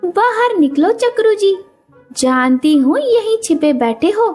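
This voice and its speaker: A woman acts a scolding wife bird from an animated fable. She is suspicious and bossy, pitched very high. There is music underneath. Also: a little slow, exaggerated voice. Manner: nagging, bossy. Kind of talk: animated children's story character voice. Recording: music behind the voice.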